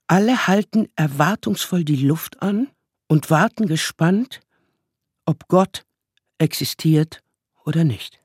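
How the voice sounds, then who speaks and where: A man narrating a radio play as storyteller of a child's magical world, with measured, dramatic phrasing. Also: fairly high pitch, darkish tone, natural voice.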